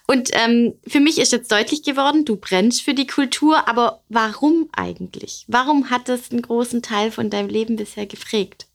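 A woman's voice: a little high, moderate pace, vocal fry, hardly any pauses. A woman hosting a podcast, introducing and interviewing a guest in conversation.

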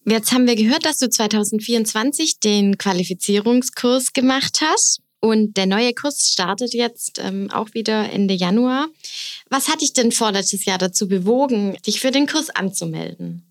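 A woman's voice: fairly high pitch, moderate pace, dry recording, clean studio sound. A woman hosting a podcast interview in a conversational style. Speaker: podcast host, education officer.

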